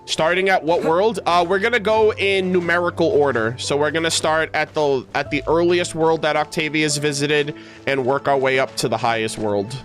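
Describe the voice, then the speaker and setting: A man with a fairly high-pitched voice talks casually to his livestream viewers. He is informal and playfully teasing, with plenty of gamer slang. Music plays behind him. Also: normal speed, a bit bright. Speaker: video game streamer.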